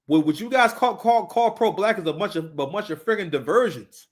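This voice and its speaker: A man's voice: high-pitched, lively intonation, fairly quick. A man in his thirties giving casual, unscripted live commentary, talking emphatically, repeating his phrases and swearing freely. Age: 30s.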